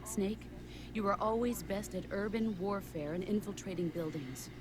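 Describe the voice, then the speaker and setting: An actress playing a composed, stern female military mentor in a video game, speaking in a measured, instructive way. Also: medium pitch, background noise, lightly acted. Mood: reassuring.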